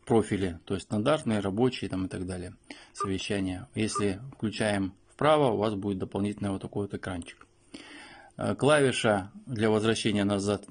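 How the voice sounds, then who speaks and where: A man with a fairly low voice hosts an unscripted product review. He goes through things step by step, explaining in short pieces and often pausing to find the next word. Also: breathy edge. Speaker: host of a phone review.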